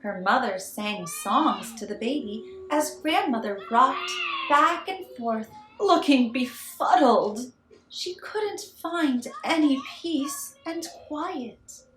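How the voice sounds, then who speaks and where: Female children's storyteller: expressive, high-pitched, deliberate, echoey recording with some noise. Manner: theatrical, deliberate, emphatic. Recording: roomy echo with background noise.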